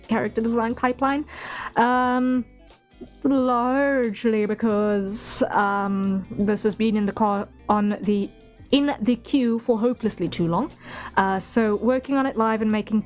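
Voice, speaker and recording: Tired girl streamer w high voice, rambly n giggly, music playing behind her. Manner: rambling, giggly, self-deprecating. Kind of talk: casual livestream chat to viewers. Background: background music.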